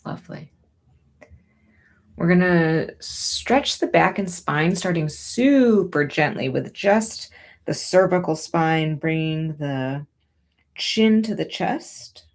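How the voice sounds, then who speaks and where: A woman teaching yoga and breathing, giving gentle, soothing, unhurried encouragement as she guides each movement. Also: deep voice, expressive.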